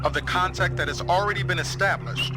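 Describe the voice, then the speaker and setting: An actor playing a formal television news commentator in a radio drama. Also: male voice, high-pitched, fairly quick, lightly acted. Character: formal male TV news commentator giving background. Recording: music behind the voice.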